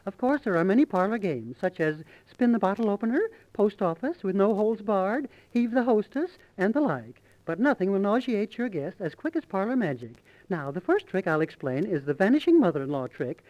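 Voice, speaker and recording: A man's voice: sits high, darkish tone. A man playing a gushing, self-satisfied society matron in 1930s radio comedy, lecturing in a cutesy, pompous way. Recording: muffled 1930s radio recording.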